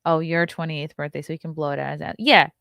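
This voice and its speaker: A woman in her thirties hosts a livestream and talks straight into a close mic. Her voice sits low for a woman and sounds dry and close, with no room around it. She is blunt and sarcastic and swears freely as she riffs on chat comments. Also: flat delivery, brisk, gravelly, a bit bright, no room sound. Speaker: livestream host.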